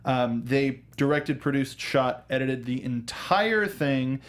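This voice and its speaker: A man hosting an informal film review show, talking casually and haltingly with emphatic repetition. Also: medium pitch, lively intonation. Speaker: talk show host.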